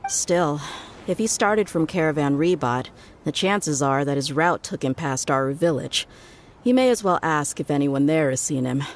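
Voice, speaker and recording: Breezy brash mercenary, low voice. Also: female voice, expressive, normal speed. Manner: breezy, joking, laughs easily. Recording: game music behind.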